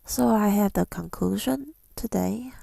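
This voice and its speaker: A woman giving an intimate ASMR monologue, mostly in a breathy whisper, with a tender, hesitant, affirming manner, close to the mic. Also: medium pitch, unhurried, dark tone, no echo. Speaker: ASMR creator speaking to listeners.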